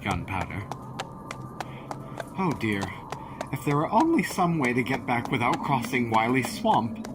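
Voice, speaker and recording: Casting: fussy, fearful schoolmaster on horseback, audio drama, unhurried delivery with loud hoofbeats and night ambience behind. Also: male voice, medium pitch, noisy background. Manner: theatrical, nervous muttering to himself and his horse. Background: horse hooves and night ambience.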